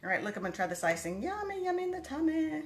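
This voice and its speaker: A woman's voice: sits high, sing-song, racing, a little hiss or hum. A woman from Texas with a Texan accent, hosting a casual live cooking stream and chatting with viewers by name in a kitchen. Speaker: cooking livestream host. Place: home kitchen.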